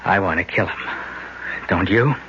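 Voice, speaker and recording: A man's voice: measured pace, background noise. An actor playing a scheming young man who narrates and coaxes his sister, in an old radio drama. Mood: coaxing, hypnotic. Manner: low, insistent, coaxing then drunk and rattled. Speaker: narrator and lead character. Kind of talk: 1970s radio drama acting. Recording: dull lo-fi old radio broadcast with music.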